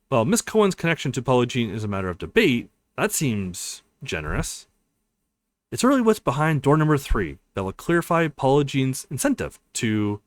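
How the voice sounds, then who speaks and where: A man doing a let's play, reading a visual novel aloud in an expressive, playful way. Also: medium pitch, dry recording. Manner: expressive, voices characters, laughs often.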